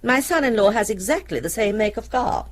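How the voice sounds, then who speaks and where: A woman's voice: fairly high pitch, expressive, a little fast. A woman acting a prim, fussy middle-aged housewife in a radio drama, speaking formally and precisely. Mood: recollecting.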